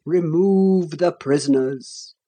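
Woman acting a storybook judge, male, low, slow, stern, pompous, formal. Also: warm tone, breathy edge, heavily acted. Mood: stern, solemn. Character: stern, solemn male judge pronouncing sentence.

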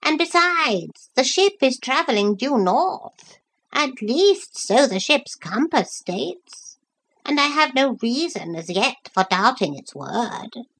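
A woman's voice: high-pitched, big pitch swings, takes it slowly, fairly bright tone, husky, pitched above the performer's own voice, exaggerated voice, low-quality recording. A woman doing a wise, pompous talking parrot. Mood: dry.

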